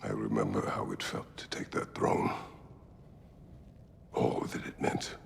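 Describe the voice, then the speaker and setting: Old war god from a game, super deep n slow, stern and full of regret, gravelly, weighty pauses. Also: male voice, monotone, in a whisper, full character voice. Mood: solemn, reflective. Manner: halting, solemn, weighty pauses. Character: weary old male war god, stern, remorseful, solemn. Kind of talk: dramatic video game cutscene monologue. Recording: long reverberant hall, faint noise.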